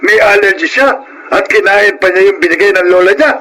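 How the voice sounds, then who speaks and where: A man's voice: high voice, fairly quick, sharp tone, a little hiss or hum, low-quality recording. An actor playing an older father in a Filipino radio drama, earnest and admonishing as a reasoning peacemaker. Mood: stern, admonishing.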